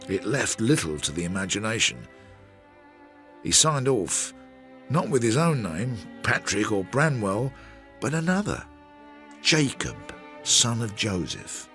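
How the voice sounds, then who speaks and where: Male narrator for radio drama: reflective Victorian investigator, low register with wide inflection, understated delivery. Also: very animated, takes it slowly, sharp tone, soft background music, exaggerated voice. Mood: measured, wry. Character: measured Victorian investigator recounting a failed case.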